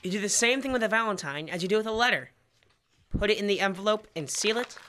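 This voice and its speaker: A boastful big brother in an old-time radio comedy, played by a teenage male. The youthful voice is bright and sharp, quick to put down a younger sibling. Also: high-pitched, expressive. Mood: superior. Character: know-it-all older brother, boastful and easily annoyed. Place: school library. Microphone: standing stage microphone.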